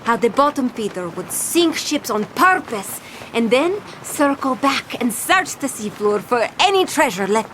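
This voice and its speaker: A woman's voice: a little high, fairly bright tone, a little hiss or hum, poor audio. A woman playing a bossy, treasure-obsessed pirate captain in a cartoon adventure, theatrical and full of salty pirate slang. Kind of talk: animated cartoon adventure storytelling.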